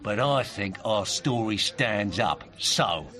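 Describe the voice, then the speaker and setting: An actor playing a brazen, unapologetic tabloid journalist in a radio drama, cocky and needling. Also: male voice, on the low side, animated, slow, sharp tone, full character voice. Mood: dismissive. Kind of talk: British radio drama acting.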